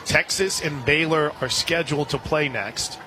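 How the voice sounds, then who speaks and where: A man doing live radio play-by-play of a softball game, slipping conversational asides in between pitch calls. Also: moderate pace. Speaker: play-by-play announcer. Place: outdoor softball stadium broadcast booth. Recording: loud crowd and outdoor stadium noise. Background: crowd noise.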